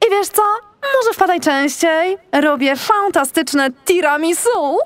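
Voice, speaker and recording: Squeaky high little girl seal. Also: animated, takes it slowly, full character voice. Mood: affectionate, playful. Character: perky, affectionate little female seal. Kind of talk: children's audiobook character acting.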